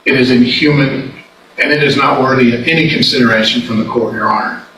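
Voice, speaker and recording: A man's voice: mid-range voice, fairly even intonation, brisk, bright, forceful tone. A man arguing as a prosecutor in court, speaking in an emphatic, condemning manner. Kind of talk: courtroom closing argument. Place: indoor courtroom. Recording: echoey courtroom, distant mic, background noise.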